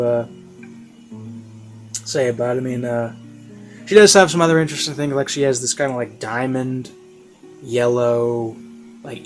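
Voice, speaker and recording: A man delivers an unscripted review in a casual, rambling style. His pitch sits in the middle of the usual male range. He speaks haltingly, often rephrasing as he goes, over a bed of classical music. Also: darkish tone. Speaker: online reviewer. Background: classical background music.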